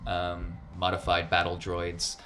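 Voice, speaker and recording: A man with a fairly low voice runs a tabletop role-playing game as game master. He narrates the scene, with music playing behind him. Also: monotone, unhurried, a bit bright.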